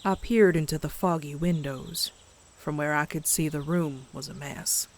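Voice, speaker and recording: A woman's voice: low-pitched, fairly even intonation, slight background noise, natural voice. A woman voicing a frightened pony who narrates a horror comic dub in a suspenseful, dramatic way. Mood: hushed dread. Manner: slow, suspenseful, dropping into hushed breathy whispers. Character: terrified pony narrating a horror tale, dread-filled.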